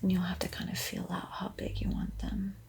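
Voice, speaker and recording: A woman whispers painting instructions in a soothing, encouraging ASMR style, with a breathy, airy voice. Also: lowish voice, completely flat delivery, a little fast, a little mellow. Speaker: art tutorial host.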